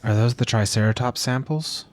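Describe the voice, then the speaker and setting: A man's voice: medium pitch, normal speed, slight background noise, close to an everyday voice. A man playing an inquisitive, reserved lab scientist in an audio drama. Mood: questioning.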